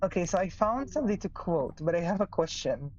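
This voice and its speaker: A woman's voice: fairly low pitch, sing-song, darkish tone, muffled recording, slight background noise. A woman from Israel, a native Arabic speaker, chatting casually on a game stream, often stopping and restarting her words. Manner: halting, restarts words, giggly. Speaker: streamer's partner and co-host.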